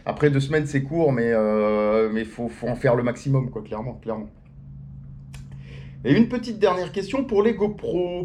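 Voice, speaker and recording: A man answering viewer questions in a casual video, slangy and joking, talking to his audience like friends and often jumping into a higher pitch for emphasis. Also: a little mellow. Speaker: theme-park YouTuber answering viewer questions. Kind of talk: casual chat, FAQ to camera.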